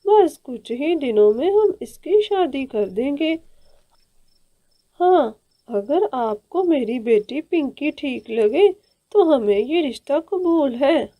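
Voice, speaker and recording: A woman's voice: sits high, expressive, takes it slowly, lo-fi sound. A woman voicing a greedy, jealous stepmother bird in a cartoon, haughty and snappish in manner. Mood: falsely polite. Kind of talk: Hindi children's cartoon dialogue.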